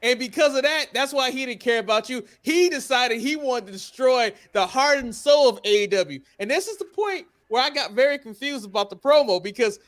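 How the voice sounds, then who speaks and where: Man, high voice, podcast host, opinionated recap chat, blunt, emphatic, salty language. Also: expressive, fairly quick, hardly any pauses, fairly bright tone. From United States.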